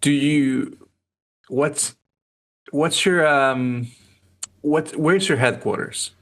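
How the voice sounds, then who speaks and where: A man's voice: a little high, drawn-out words, fairly bright tone. Spanish-accented man asking questions casually. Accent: Spanish-speaking. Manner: asks questions, dry teasing interjections. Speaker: podcast co-host. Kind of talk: casual chat.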